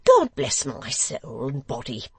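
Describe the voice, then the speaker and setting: A woman's voice: rumbling low, huge pitch swings, slow pace, darkish tone, slightly rough, dry recording, heavily acted. A woman voicing a testy, flustered male Lord Mayor who dithers and fusses. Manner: fussy, dithering.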